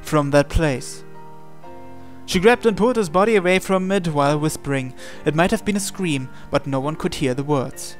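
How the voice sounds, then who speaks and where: A man reading scripted, dramatic story narration in a measured, solemn way. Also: fairly high pitch. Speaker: narrator reading translated game text. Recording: music behind the voice. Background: music.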